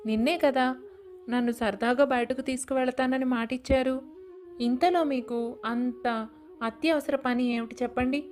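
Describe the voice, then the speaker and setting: A woman's voice: high voice, fairly even intonation, normal speed, darkish tone, close to an everyday voice. A woman playing a neglected middle-aged wife in a moral story, plaintive and questioning. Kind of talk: animated moral story dialogue.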